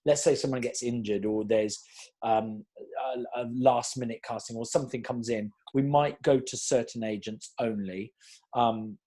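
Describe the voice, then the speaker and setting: A man, a casting director answering questions in a rambling, chatty way. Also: mid-range voice. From South Wales. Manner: chatty, rambling, animated storytelling. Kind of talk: online Q&A chat.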